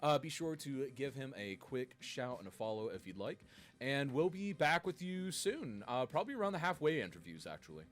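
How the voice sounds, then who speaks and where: Man, stream host, upbeat, animated pitch swings, frequent fillers, faint music underneath. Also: moderate pace. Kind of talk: livestream interview hosting.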